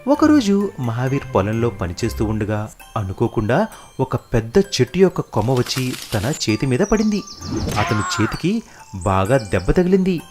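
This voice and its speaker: An animated storyteller narrates moral tales for children in a scripted storybook style. The voice moves up and down in pitch, with faint music underneath. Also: moderate pace.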